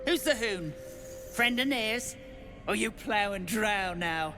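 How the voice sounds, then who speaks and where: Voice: medium pitch, animated, slow, music in the background. An actor playing a crude, foul-mouthed dwarf guard in a video game, blunt and mocking. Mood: gruff, threatening.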